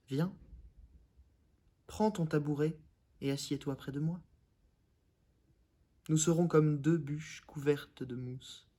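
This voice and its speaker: A young man, a conservatory student, reciting literary poetry with measured, deliberate phrasing. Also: medium pitch, darkish tone. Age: young adult.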